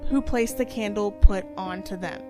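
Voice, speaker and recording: A woman's voice: medium pitch, moderate pace, over music. A woman co-hosting a podcast, chatting casually and reading out stories. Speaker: podcast co-host telling stories.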